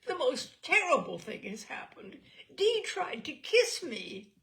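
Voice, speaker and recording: A woman's voice: sits high, sing-song, slow pace, creaky, fairly bright tone, pitched above the performer's own voice, full character voice. A woman voicing a naive, dramatic eighteen-year-old society girl in a memoir reading, with earnest exclamations. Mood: appalled, excited.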